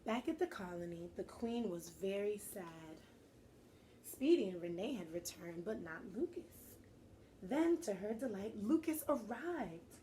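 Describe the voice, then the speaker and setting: A woman teacher doing an animated storybook read-aloud, encouraging and pausing with questions for young listeners. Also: mid-range voice, a little hiss or hum, poor audio. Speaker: teacher reading a picture book aloud to children.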